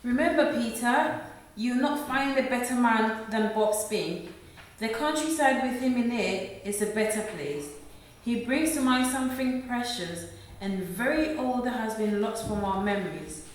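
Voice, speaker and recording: A woman playing an earnest, loving young mother in a radio play, speaking in a measured way. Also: on the high side, expressive. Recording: very echoey room, far from mic.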